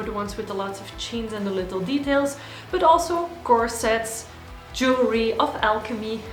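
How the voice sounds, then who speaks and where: Woman vlogging in an echoey room. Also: a little high. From Belgium. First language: Dutch. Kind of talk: personal monologue to camera.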